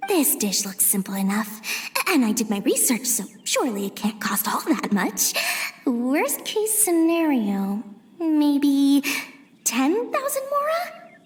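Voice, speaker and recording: Shrewd bubbly shopkeeper girl for a game, high voice all over the place, theatrical. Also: big pitch swings, takes it slowly, full character voice. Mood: calculating. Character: bubbly, shrewd, money-minded young female merchant. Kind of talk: video game voice acting.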